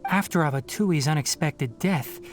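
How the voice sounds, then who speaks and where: A man playing a calm, thoughtful young forest ranger who explains things in a measured way in an anime game. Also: fairly high pitch, lightly acted. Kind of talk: anime game story dialogue. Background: faint music.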